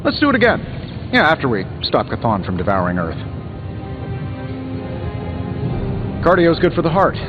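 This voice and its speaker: An actor voicing a witty, cocky armored superhero inventor in a video game, glib and sarcastic. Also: male voice, on the high side, wildly swooping pitch, fast talker. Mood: playful banter. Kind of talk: video game dialogue acting. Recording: slightly dull game audio under music.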